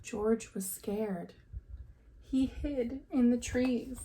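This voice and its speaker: Slow, high-voiced woman reading to children. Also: mellow, low-quality recording. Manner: slow, deliberate storytelling delivery for young children. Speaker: teacher reading aloud to children. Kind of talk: expressive picture-book read-aloud with questions to listeners.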